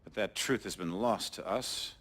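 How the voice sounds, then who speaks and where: An actor playing a menacing military contractor boss in a video game, a male villain who lectures with measured condescension. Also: fairly low pitch, a bit bright. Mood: cold, displeased. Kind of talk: scripted cinematic game acting. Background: dramatic score.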